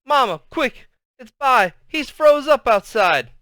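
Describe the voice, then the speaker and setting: A man voicing an excitable, indignant young boy who speaks in urgent, emphatic bursts. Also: high voice, normal speed, clean tone, no echo, studio quality, pitched above the performer's own voice. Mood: urgent alarm.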